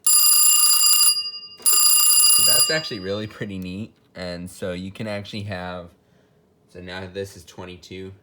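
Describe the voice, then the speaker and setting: A man's voice: low voice, unhurried, long pauses. A man giving a casual, unscripted technical walkthrough in a matter-of-fact, explanatory way, as a hobbyist showing equipment. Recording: noticeable background noise with clicks.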